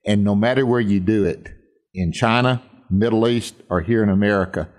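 Earnest low-voiced male radio host. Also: moderate pace, darkish tone. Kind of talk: reflective devotional talk.

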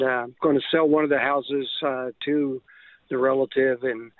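A man's voice: mid-range voice, dry recording. Older male caller on dull phone line. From Texas, United States. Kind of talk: hesitant casual question.

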